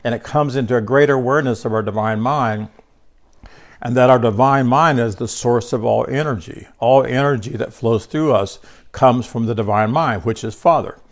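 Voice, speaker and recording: A man's voice: medium pitch, normal speed. An older man teaching a small group about scripture in a loose, conversational way, congested, often restarting words and speaking to listeners by name. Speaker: bible teacher.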